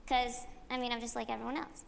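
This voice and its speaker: A woman in an audience sharing an informal personal comment, halting and peppered with like. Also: a little high, flat delivery, vocal fry. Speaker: audience member sharing experience. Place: large conference hall, audience floor. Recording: hall reverb, faint background noise.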